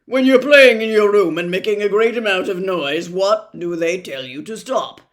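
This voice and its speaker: Narrator doing a blustery storybook doctor guy, booming and loud, really declaims everything. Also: normal speed, lightly acted. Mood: blustering. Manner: emphatic, declamatory. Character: blustering, roaring male doctor who adores noise.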